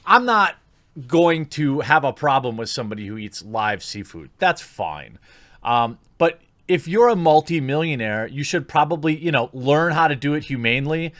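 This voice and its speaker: A man's voice: on the high side, animated, moderate pace, fairly bright tone, no room sound. A man giving casual, opinionated online commentary in a critical, sarcastic manner, recorded on a close mic. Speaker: YouTube commentator.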